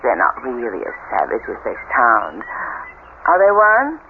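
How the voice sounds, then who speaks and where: An actress playing a sweet, curious and somewhat anxious new bride in an old radio drama, with theatrical delivery. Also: female voice, very deep voice, huge pitch swings, a little slow, phone-quality sound, full character voice. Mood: anxious, polite. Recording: thin old radio broadcast, faint noise.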